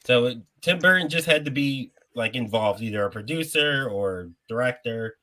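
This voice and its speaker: A man's voice: mid-range voice, expressive, moderate pace, bright, forceful tone. A man hosting a casual podcast, often laughing as he reads out a movie bracket. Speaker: podcast host.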